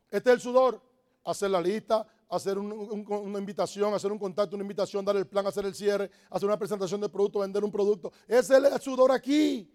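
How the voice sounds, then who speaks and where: A man with a strikingly high voice rattles through his motivational speech at a fast clip. He drives each point home by repeating it, pressing the crowd in an emphatic, preacher-like way. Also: darkish tone. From Dominican Republic. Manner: emphatic, repetitive, preaching-like exhortation. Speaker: network marketing motivational speaker. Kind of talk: motivational talk to a live audience.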